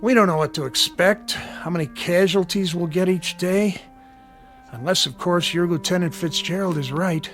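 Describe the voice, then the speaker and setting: An actor playing a frank, world-weary army medical sergeant in a war radio drama. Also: male voice, sits high, normal speed, a bit bright, faint music behind. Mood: weary, troubled. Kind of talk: audio drama acting.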